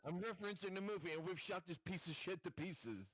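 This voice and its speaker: A man's voice: high-pitched, fairly quick, fairly bright tone, slight background noise. A man playing a tabletop game, cracking wise in casual chat with the group. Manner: wisecracking.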